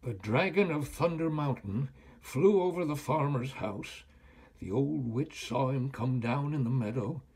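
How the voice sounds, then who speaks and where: An older man reading a children's story aloud in an expressive, deliberate, dramatic way. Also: medium pitch, breathy edge. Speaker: storyteller, actor.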